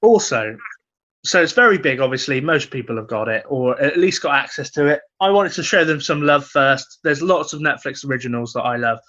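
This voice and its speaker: A man's voice: medium pitch, lively intonation, a little fast, pushed and bright, highs cut off. A man co-hosting a comedy podcast, chatting casually and emphatically about his opinions, repeating words for effect.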